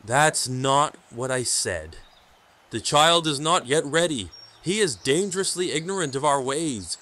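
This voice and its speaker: An actor playing a solemn, stern hermit keeper of a sacred order, speaking formally in an audio drama. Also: male voice, on the high side, lively intonation, measured pace, bright, forceful tone. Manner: grave, portentous, formal.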